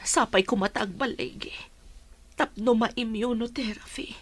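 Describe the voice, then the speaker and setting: An actress playing a worried, long-suffering middle-aged mother in a radio drama, breathy and husky, with an Ilocano accent. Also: fairly high pitch, unhurried.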